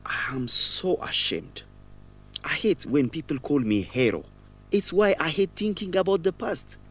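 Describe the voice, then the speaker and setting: Guilt-ridden young man, radio drama, halting and earnest, widely varying pitch, emotional. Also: expressive, a bit bright, husky, full character voice. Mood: anguished, regretful. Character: guilt-ridden young man, former orphan, confessing a shameful secret. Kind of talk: educational radio drama acting.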